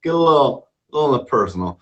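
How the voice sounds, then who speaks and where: A man's voice: mid-range voice, expressive, fast, muffled recording. A man hosting an interview show, asking questions in a playful, joking way. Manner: upbeat, playful, jokey. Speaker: host. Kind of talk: casual interview.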